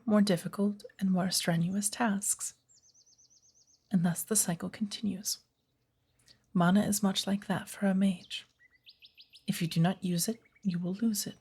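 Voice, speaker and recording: A patient witch of the woods , acted by a woman, teaches magic in an ASMR roleplay. Her voice is husky and breathy, often dropping toward a hush. She chooses her words formally and delivers them with gentle, measured care. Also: medium pitch.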